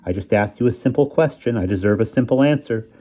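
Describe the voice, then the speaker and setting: A man's voice: medium pitch, fast, dark tone, lo-fi sound, pitched above the performer's own voice, natural voice. A man voicing a nagging, pedantic middle-aged mother who reads definitions aloud and scolds her son. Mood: scolding.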